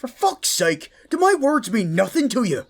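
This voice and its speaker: Man acting a intense, expressive fantasy protector. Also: extremely high pitch, moderate pace, a little hiss or hum, full character voice. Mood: stern, urgent. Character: protective young commoner man, gruff and devoted, guarding royalty. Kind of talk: fantasy audio roleplay acting.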